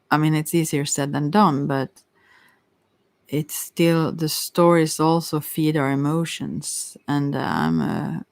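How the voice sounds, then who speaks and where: An ASMR tarot reader talking off the cuff in a hesitant, halting way that trails off, with a creaky voice, close to the microphone. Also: mid-range voice, dry recording. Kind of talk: ASMR tarot reading, unscripted.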